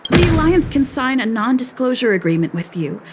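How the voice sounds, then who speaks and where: A woman's voice: high-pitched, very animated, brisk, loud music behind the voice. A woman voicing a cool-headed, cheeky young negotiator in a video game, composed, confident and persuasive.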